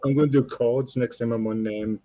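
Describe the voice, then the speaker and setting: A man with a Geordie accent, co-hosting a relaxed live stream and chatting casually, swearing freely and rambling between jokes. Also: medium pitch, brisk, dull audio, a loud crowd. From North East England.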